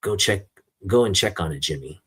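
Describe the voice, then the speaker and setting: Man reading as a low, businesslike newspaper chief. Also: animated, moderate pace, fairly bright tone, slightly rough, exaggerated voice. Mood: curious, commanding. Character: businesslike male newspaper chief giving orders. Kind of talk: comic book read aloud.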